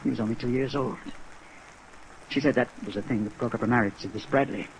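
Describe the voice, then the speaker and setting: A man's voice: mid-range voice, steady tone, fast, creaky, close to an everyday voice, rough recording. A man playing a defensive beach-bum actor in his mid-thirties in a 1950s radio drama, hesitant and earnest. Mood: earnest, uneasy. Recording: old radio recording, faint rainy hiss.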